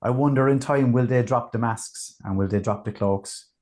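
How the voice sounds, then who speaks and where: A man hosting a casual music review chat, talking in a relaxed, chatty way with plenty of fillers. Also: mid-range voice, normal speed. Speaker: podcast host.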